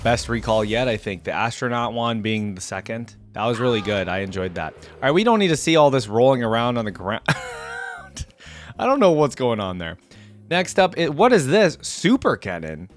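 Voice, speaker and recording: A man's voice: medium pitch, very animated, fairly quick, faint music behind. A man hosting a reaction video, talking informally and thinking aloud in unscripted commentary. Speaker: YouTube reaction host.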